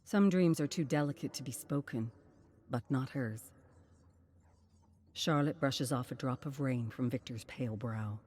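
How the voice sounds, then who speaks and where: Slow, low-voiced woman narrating solemnly. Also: darkish tone. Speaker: narrator of game lore. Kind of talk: scripted dramatic story narration.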